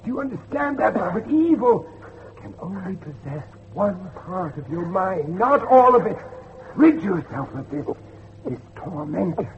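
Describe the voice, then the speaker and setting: A man's voice: high-pitched, big pitch swings, a little slow, full character voice. An actor playing a desperate, pious middle-aged father pleading urgently with his son in a horror audio drama. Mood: grave, pleading. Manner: preacherly, urgent, repeating himself. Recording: dull lo-fi audio, loud background noise.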